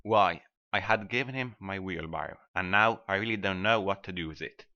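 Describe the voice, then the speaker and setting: A man's voice: sits low, moderate pace, sharp tone. A man playing a selfish, sanctimonious miller in a storybook reading, lecturing in a self-righteous manner. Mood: self-important, peevish. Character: selfish, sanctimonious male miller, smugly preaching friendship. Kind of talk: scripted storybook dialogue. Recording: dull, band-limited audio.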